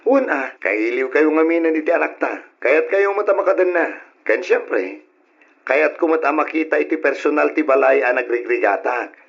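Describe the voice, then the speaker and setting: An actor playing a hardworking, family-minded husband who works abroad, in an old-style radio drama with an Ilocano accent. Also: male voice, a little high, expressive, moderate pace, vocal fry. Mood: eager. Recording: dull lo-fi radio sound.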